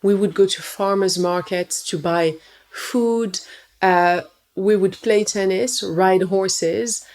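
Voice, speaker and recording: A middle-aged woman from Morocco, a podcast guest with a medium pitch. She talks about her life fluently, in a relaxed conversational way. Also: slow. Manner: fluent, storytelling. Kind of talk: casual interview.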